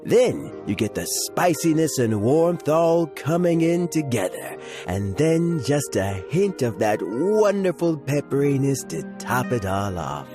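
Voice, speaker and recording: Enthusiastic contest judge in a game, really swoopy delivery, savoring every word, music under. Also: male voice, mid-range voice, a little slow, few pauses. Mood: impressed. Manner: expressive, appreciative. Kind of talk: video game character acting.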